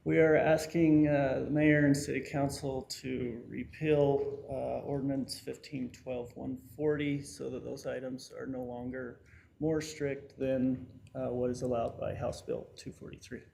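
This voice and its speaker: Brief: man, fire official at a council podium, slow and gravelly, dark tone. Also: mid-range voice, flat delivery, slight background noise, low-quality recording. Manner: deliberate, reads statute aloud, self-conscious about loud voice. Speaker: assistant fire chief presenting to council. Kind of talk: formal council presentation. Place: city council chamber.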